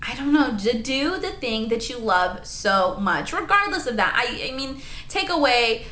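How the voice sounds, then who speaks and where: A woman in her late twenties chatting in a rambling, animated way for her vlog, full of asides and "like.". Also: a little high, unhurried, roomy sound. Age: 20s. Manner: rambling, animated, lots of "like" and "honey". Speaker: vlogger.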